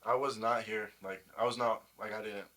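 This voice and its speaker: Young male ballplayer as interview guest, lowish pitch, very even delivery, casual. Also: moderate pace. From United States. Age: young adult.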